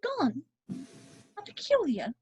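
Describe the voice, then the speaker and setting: A woman's voice: very high voice, wildly swooping pitch, extremely slow, darkish tone, exaggerated voice. A woman playing a genteel, puzzled mother in an amateur script read-through.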